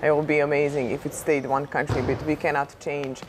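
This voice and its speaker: A woman's voice: deep voice, flat delivery, fairly quick. Young Serbian woman with arena noise. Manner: thoughtful, uses many fillers like "like" and "uh". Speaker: basketball player, interviewee. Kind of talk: casual interview. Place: large indoor basketball arena. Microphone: lapel mic.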